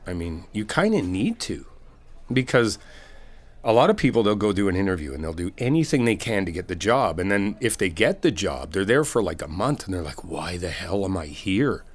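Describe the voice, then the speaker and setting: Male, low-pitched and lively in intonation. Laid-back best friend played by a man for comfort audio roleplay, informal, supportive, rambling advice. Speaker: ASMR roleplay performer. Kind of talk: casual conversational comfort ASMR roleplay.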